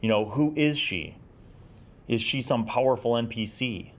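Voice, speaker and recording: A man's voice: mid-range voice, unhurried. An American man in his forties hosting a casual, nostalgic review vlog, speaking in a reflective, enthusiastic way. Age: 40s. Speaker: host of a retro gaming video series.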